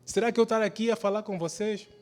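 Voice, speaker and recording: Male preacher, high-pitched voice, exhorting and repetitive sermon delivery, long hall reverb. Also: steady tone, quick pace, faint music behind. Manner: exhorting, repetitive, rhetorical questions. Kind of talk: church sermon. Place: large church hall during a service.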